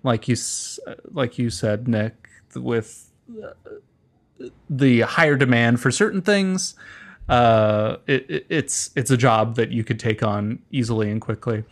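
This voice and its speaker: A man's voice: mid-range voice, a little slow. A middle-aged man from California with an American accent, talking casually as an interview guest in a hesitant, wry way. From California, United States. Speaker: interview guest, musician and performer.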